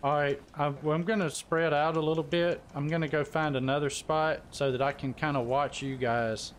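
A man's voice: fairly high pitch, steady tone, moderate pace, slight background noise. A man live streaming and talking casually through his gameplay with other players.

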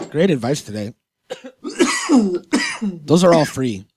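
A man's voice: a little high, big pitch swings, extremely slow, very noisy. A man hosting a podcast in casual banter, joking and swearing freely. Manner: joking, profane. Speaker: podcast host.

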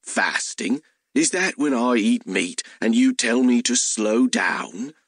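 A male narrator performs a talking hellhound from a fantasy audiobook. He is slow and literal, a hungry, childlike beast, heard in a dry, close recording. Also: mid-range voice, expressive, dry recording. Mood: earnest, simple. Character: male talking hellhound, simple-minded, literal, food-obsessed.

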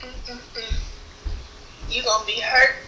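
A woman's voice: a little high, flat delivery, slow pace, low-quality recording. A young woman chatting casually over a phone video call. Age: young adult. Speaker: stranger on a video chat. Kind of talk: casual chat. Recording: loud noise, clicky video call audio.

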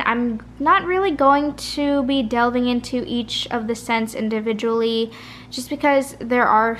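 Tired, soft-spoken woman vlogger, rambling. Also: on the high side, a little hiss or hum. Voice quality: soft, a little raspy. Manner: rambling, chatty. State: tired, late at night. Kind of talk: casual chat. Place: kitchen at home. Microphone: camera at a kitchen table.

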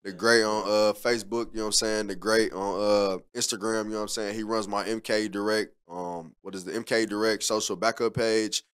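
A man's voice: on the low side, level tone, fairly quick, hardly any pauses, fairly bright tone, clear, resonant tone. A young man from Haiti, a guest on a podcast, talking casually in a studio with a desk mic, laid-back and rambling with lots of fillers. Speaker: interview guest, media producer. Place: studio podcast set with neon sign. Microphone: desk mic on stand.

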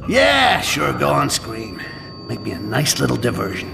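An actor playing a sly, menacing, foul-mouthed goblin assassin in a video game, with a gravelly voice and a sardonic, muttering, snarling delivery. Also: male voice, medium pitch, huge pitch swings, normal speed, long reverb tail, heavy background noise, exaggerated voice. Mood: scornful. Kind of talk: video game character acting.

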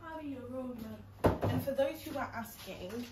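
A woman's voice: high-pitched, normal speed. A teenage girl, the vlogger's daughter, chatting cheekily and teasing her mother. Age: teenager, sixteen. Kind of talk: casual family banter. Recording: distant phone mic in an echoey home with loud noise.

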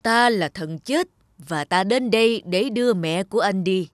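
A woman voicing a stern, solemn male spirit of death who speaks reproachfully in an animated fairy tale. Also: medium pitch, unhurried, no room sound, studio quality, lightly acted.

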